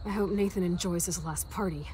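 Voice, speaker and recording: A woman's voice: lowish voice, brisk, warm tone, slight background noise, lightly acted. An actress playing a defiant, vengeful young punk woman with a blunt, brash manner in a video game.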